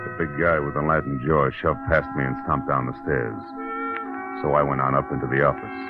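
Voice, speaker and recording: An actor playing a tough, wry private detective in a vintage radio drama, terse and hard-boiled in narration and dialogue. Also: male voice, a bass voice, fairly even intonation, fairly quick. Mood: brooding, wry. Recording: thin, phone-like vintage radio recording. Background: dramatic music.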